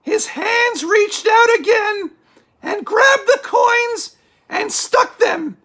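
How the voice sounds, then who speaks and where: A woman playing an excitable, easily sidetracked spooky storyteller for a comic puppet show, with exclamatory, drawn-out dramatic delivery. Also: extremely high pitch, exaggerated voice. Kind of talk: theatrical comic reading of a scary story.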